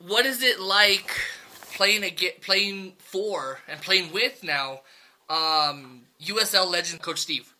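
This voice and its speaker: A man's voice: high voice, takes it slowly, bright, forceful tone. A man hosting a podcast interview, asking long, rambling questions in an easygoing, chatty way. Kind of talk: casual interview chat.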